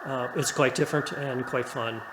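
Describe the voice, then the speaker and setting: A man gives a casual, halting, upbeat update as an amateur radio hobbyist in his radio room at home. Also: medium pitch, fairly even intonation. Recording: echoey room, clear buzzing radio static. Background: radio static and buzzing.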